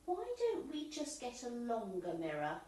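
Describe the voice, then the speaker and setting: A woman's voice: fairly high pitch, huge pitch swings, normal speed, dark tone, recorded from a distance. A woman playing an inquisitive, practical club committee member in an amateur radio comedy play, asking matter-of-fact questions. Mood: curious. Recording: very echoey, off the mic.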